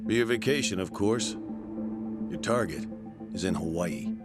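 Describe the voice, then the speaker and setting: A man's voice: fairly low pitch, moderate pace, close to an everyday voice. A man playing a cool, condescending handler for a shadowy faction in a video game, formal and smug with clipped authority. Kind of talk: video game cutscene acting. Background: loud music.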